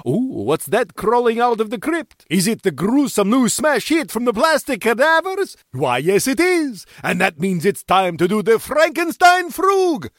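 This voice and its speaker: A man's voice: extremely high pitch, very animated, a little slow, hardly any pauses, a bit bright, no room sound, clean studio sound, full character voice. A man playing a campy, gleefully ghoulish radio horror host with theatrical, teasing patter.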